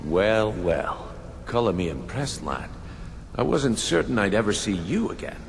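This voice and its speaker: A man's voice: fairly low pitch, sing-song, unhurried, vocal fry, exaggerated voice. A male actor playing a wry, condescending thieves guild recruiter in a fantasy video game. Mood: amused.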